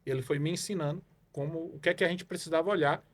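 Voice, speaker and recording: A man's voice: a little high, fairly even intonation, rapid-fire. Rambling man telling his story. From Salvador, Bahia, Brazil. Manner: rambling storyteller, many fillers and tag questions. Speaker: guest, car evaluation consultant. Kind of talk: casual podcast interview.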